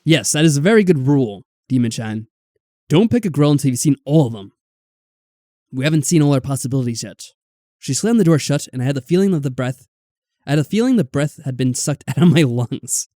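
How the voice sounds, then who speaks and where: A man's voice: medium pitch, lively intonation, a little fast, dark tone, no room sound, clean studio sound. A man reading a visual novel aloud as a let's player, dropping in wry, exasperated casual asides. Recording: background music.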